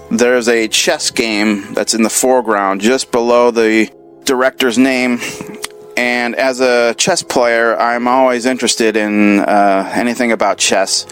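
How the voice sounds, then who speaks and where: A man from the United States reading out a listener comment in a steady, methodical way. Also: mid-range voice, measured pace, faint music behind. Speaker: listener contributor.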